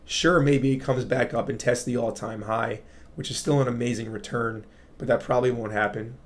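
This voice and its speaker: A man with a raspy voice hosting a casual crypto livestream, explaining charts to viewers and often checking in with "right?". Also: medium pitch, steady tone, normal speed. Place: home studio. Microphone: studio mic.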